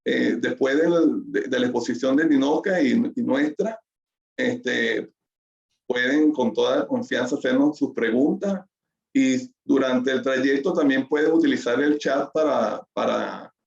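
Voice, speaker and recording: A man hosting a webinar in a gravelly voice, hesitating often and restarting his sentences. He sounds muffled and roomy, as if on an online call. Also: mid-range voice, unhurried. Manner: hesitant, many fillers and restarts. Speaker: webinar host. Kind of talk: webinar introduction.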